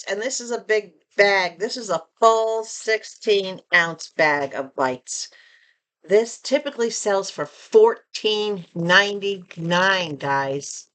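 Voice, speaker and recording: A woman vlogger chatting casually through an unboxing, reading labels aloud and asking viewers questions, a bit hoarse from losing her voice. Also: mid-range voice, a bit bright. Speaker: vlogger reviewing purchases.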